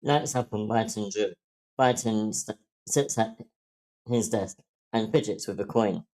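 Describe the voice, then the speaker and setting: A man's voice: lowish voice, a little slow, a little mellow, poor audio. A man reading out stage directions at an online screenplay table read.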